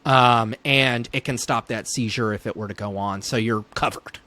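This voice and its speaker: A man's voice: mid-range voice, normal speed, bright, forceful tone. A man speaking as a neurologist guest in an interview, thinking aloud as he explains clinical points conversationally.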